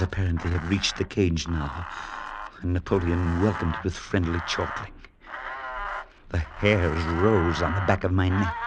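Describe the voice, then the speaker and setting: An actor playing an earnest, skeptical priest who narrates a radio drama in measured tones, with music behind him. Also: male voice, sits low, very noisy, close to an everyday voice. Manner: measured storytelling, anxious in dialogue.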